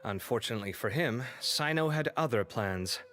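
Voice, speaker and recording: A man's voice: mid-range voice, expressive, normal speed, lightly acted. Game investigator voiced by a man: conversational, mid-range voice, matter-of-fact and self-assured. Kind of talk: video game dialogue acting. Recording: soft music under the voice.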